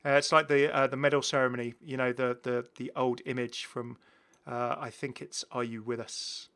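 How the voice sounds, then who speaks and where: A man's voice: medium pitch, level tone, normal speed, a bit bright, clear, resonant tone. A man hosting a gaming video in a casual, chatty commentary, rambling and repeating his phrases. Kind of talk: casual commentary on game cosmetics.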